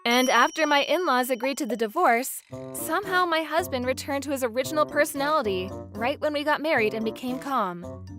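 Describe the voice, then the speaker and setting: Woman as young wife, high-pitched, weary, resolute, earnest manga dub narration, music behind. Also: fairly quick, lightly acted. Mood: narrating, earnest. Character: weary, resolute young wife telling her divorce story. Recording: music bed under the voice.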